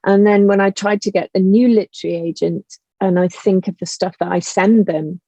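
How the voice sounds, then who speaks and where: Female interview guest, mellow voice with vocal fry, emphatic and impassioned, casual chat. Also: medium pitch, moderate pace, no room sound, dull audio. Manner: emphatic, animated storytelling with exasperated rhetorical questions. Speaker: guest, audio drama writer. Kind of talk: passionate casual conversation.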